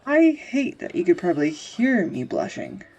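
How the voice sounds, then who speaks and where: Woman playing a husky, creaky-voiced tender young sweetheart. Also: lowish voice, very animated, moderate pace, warm tone, dull audio. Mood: mortified, flustered. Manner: hesitant, confessional, breaking into whispers. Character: flustered, tender woman reuniting with high school sweetheart. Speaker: audio roleplay voice actress. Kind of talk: intimate romantic audio roleplay monologue.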